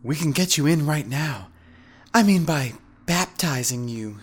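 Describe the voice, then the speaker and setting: Man putting on a high-pitched seductive female fanatic. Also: lively intonation, measured pace, slightly rough.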